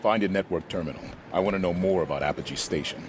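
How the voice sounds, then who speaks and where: A man's voice: deep voice, quick pace, background noise, close to an everyday voice. An actor playing a composed, businesslike male super-soldier squad leader in a video game, speaking formally and tersely. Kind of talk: video game cutscene acting.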